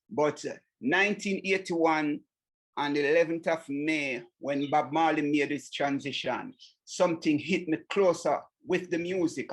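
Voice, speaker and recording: A Jamaican man, a singer, answering interview questions in a relaxed way and often adding "you know what I mean". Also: fairly high pitch. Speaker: singer and songwriter guest.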